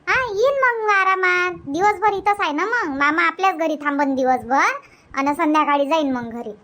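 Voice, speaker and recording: A woman's voice: extremely high pitch, pushed and bright. A woman voicing a busy young village mother in a cartoon comedy, nagging and repetitive, with a Varhadi Marathi accent. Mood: exasperated, instructing.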